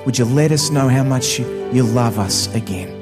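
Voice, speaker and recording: A man leading a prayer in church, slow and earnest. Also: mid-range voice. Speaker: prayer leader. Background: soft new-age music.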